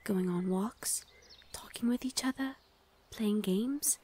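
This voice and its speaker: A woman voicing a gentle, protective fae lady of the forest in an ASMR roleplay, soothing and often whispering. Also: medium pitch, slow pace, slightly rough. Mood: warm, comforting.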